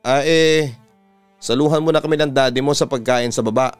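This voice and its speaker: A man voicing a timid, kindly stepmother in a narrated family story, gentle and hesitant. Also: mid-range voice, fairly even intonation, a little fast, smooth, dry recording, faint music behind, close to an everyday voice. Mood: uneasy.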